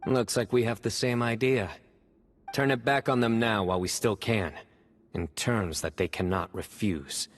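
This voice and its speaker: Man acting deep, grave, stoic desert guardian. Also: close to an everyday voice. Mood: resolute. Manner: grave, deliberate, formal. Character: stoic, resolute young male desert guardian with inner power. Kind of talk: video game voice acting, measured dramatic dialogue. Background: game music.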